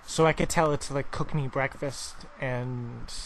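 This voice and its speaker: A man's voice: mid-range voice, fairly even intonation, measured pace, background noise. A man making a prank call in improvised absurd chat, rambling with feigned confusion. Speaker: prank caller.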